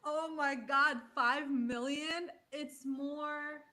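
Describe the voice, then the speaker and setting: Lady cohosting a casual livestream, bright voice on the high side. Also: fairly even intonation, extremely slow. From Odessa, Ukraine. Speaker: show co-host. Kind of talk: casual live-stream chat.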